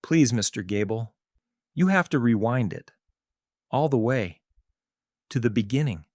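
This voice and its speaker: A man voicing a frightened, pleading man in a horror audiobook, low and urgent. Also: animated, unhurried, a little mellow, dry recording, studio quality, close to an everyday voice.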